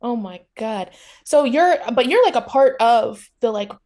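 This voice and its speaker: A woman's voice: fairly high pitch, expressive, measured pace, warm tone. An American woman hosting a casual interview in an informal, effusive, chatty way. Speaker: interviewer, host.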